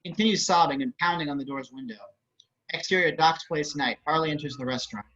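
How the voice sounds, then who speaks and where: A man's voice: on the high side, fast, bright, forceful tone. A man reading stage and screen directions aloud as scripted narration. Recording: muffled online call audio.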